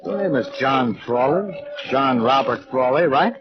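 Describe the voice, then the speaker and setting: A man's voice: mid-range voice, animated, a little slow, natural voice. An actor playing a plain-spoken man in an old-time radio detective drama. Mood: casual. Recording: muffled, noisy old radio recording.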